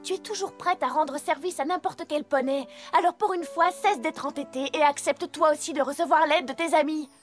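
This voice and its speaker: Studious young cartoon pony, voiced by a woman: high, articulate, earnest and lecturing, over clear music. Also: brisk, few pauses, natural voice. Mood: worried, pleading. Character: earnest, studious young female pony, caring but exasperated. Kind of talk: animated cartoon dub acting. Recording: music behind the voice.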